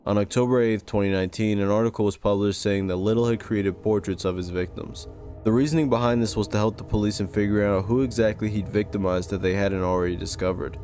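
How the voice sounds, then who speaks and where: Low-voiced man narrating over music. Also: fairly even intonation, quick pace, a little mellow. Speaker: video essay narrator. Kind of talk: scripted narration. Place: close-recorded voice-over with music behind.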